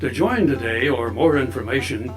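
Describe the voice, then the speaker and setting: A man's voice: medium pitch, lively intonation, normal speed. A man reading a scripted sponsor announcement as an announcer. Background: plucked guitar music.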